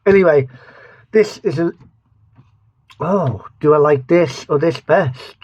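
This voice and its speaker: Slow-talking man with a lisp. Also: fairly high pitch, darkish tone, breathy edge. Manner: rambling, joking, restarts a lot. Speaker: vlogger reviewing mustards. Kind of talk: casual taste-test review. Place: home studio.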